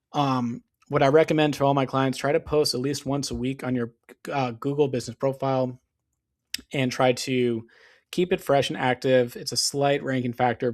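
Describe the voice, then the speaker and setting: A man's voice: mid-range voice, darkish tone. A man who is losing his voice, walking viewers through his business setup in a casual screen-share tutorial, with lots of fillers and restarts. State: losing his voice, clearing throat. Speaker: SEO business owner.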